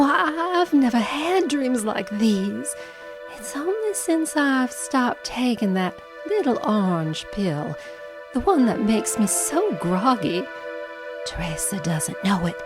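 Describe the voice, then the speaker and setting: High-voiced, troubled mother. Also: lively intonation, slow, over music. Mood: uneasy, confiding. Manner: hesitant, dramatic. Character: troubled, fretful mother haunted by vivid nightmares. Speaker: drama actor. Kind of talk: audio drama acting.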